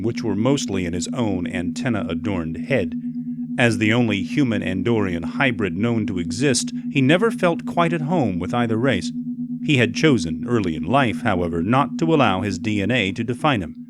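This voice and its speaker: A man's voice: on the low side, expressive, normal speed, very noisy. A man reading scripted third-person narration for an audio drama.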